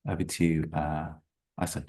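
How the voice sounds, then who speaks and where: A man moderating a Q&A session, brisk and focused on keeping to time. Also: extremely low pitch, flat delivery, dark tone. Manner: brisk, keeps the session moving. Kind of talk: chairing a Q&A session.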